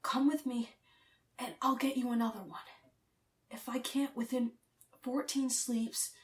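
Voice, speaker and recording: A woman's voice: on the high side, steady tone, measured pace, distant mic, pitched above the performer's own voice, lightly acted. A woman voicing a stubborn, pleading boy adventurer in an audiobook, breathless as he bargains. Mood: pleading, bargaining.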